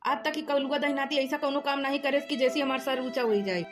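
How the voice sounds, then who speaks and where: A woman plays an exasperated village mother from a comedy cartoon. Her voice is high, with a rustic eastern Uttar Pradesh accent. She scolds and threatens with a sharp tongue. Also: fairly even intonation, a little fast, faint music behind, natural voice. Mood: indignant, protective. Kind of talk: comic cartoon dialogue.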